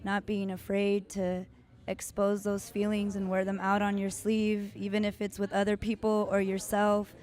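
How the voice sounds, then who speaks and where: A woman's voice: mid-range voice, monotone, moderate pace, a little mellow. A woman giving reflective interview answers as plain, assertive personal statements.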